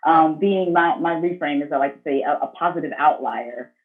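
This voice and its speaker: A woman moderating a panel discussion on a video call, speaking in a measured, affirming way. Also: low voice, narrow, tinny audio. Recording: thin, band-limited video call audio.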